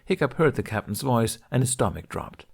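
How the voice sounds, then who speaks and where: A man's voice: mid-range voice, expressive, a little fast, vocal fry, a little mellow, breathy edge. A man reading scripted narration of an adventure story as a podfic narrator.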